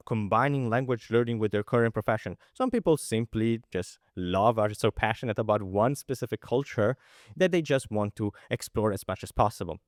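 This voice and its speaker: A man's voice: medium pitch, a little mellow, dry recording, clean studio sound. A young man from Italy hosting a podcast, talking casually and enthusiastically, rambling through restarts and fillers. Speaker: podcast host. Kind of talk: casual interview chat.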